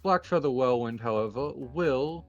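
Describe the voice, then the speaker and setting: A man playing a confident, composed card duelist in a Minecraft anime roleplay, announcing moves in a measured, deliberate way over music. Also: medium pitch, expressive, lo-fi sound, lightly acted. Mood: assured. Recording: music behind the voice.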